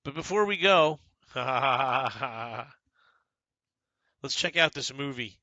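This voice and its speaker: Bright-voiced man chatting over a golf game. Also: mid-range voice, very slow, dry recording, muffled recording. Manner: relaxed, chatty, talks to viewers by name. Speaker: gaming streamer. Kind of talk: casual commentary over gameplay.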